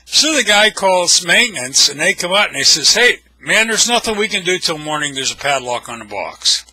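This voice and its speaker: Older man, American accent, fairly high-pitched, wry blunt storyteller, salty casual talk, dry close sound. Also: big pitch swings, normal speed, pushed and bright, husky, dry recording, poor audio. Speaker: storyteller, video blogger.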